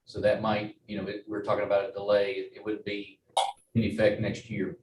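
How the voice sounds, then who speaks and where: A man giving a formal staff presentation at a meeting, in a halting, measured way. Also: fairly low pitch, completely flat delivery. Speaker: wildlife agency staff presenter. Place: meeting room. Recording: roomy, distant mic, faint noise.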